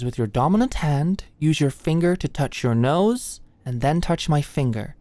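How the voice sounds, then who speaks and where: Woman acting as a nervous sweet med student girl, soft asmr roleplay, awkward and gentle, super dry close mic. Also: low voice, no echo, close to an everyday voice. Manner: gentle, halting, clinical instructions slipping into shy fluster. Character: anxious, flustered young woman medical student, sweet and awkward. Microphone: close microphone, dry sound.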